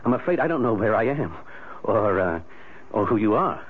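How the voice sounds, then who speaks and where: A man acting in a 1970s radio drama as a troubled middle-aged American husband, speaking haltingly and repeating his words. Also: lowish voice, big pitch swings, husky. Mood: dazed, apologetic. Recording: narrow, phone-like old radio sound.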